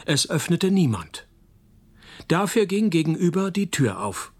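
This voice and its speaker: An actor playing a wry, unflappable male private detective, narrating and questioning in a deadpan, sardonic way in a radio play. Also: on the high side.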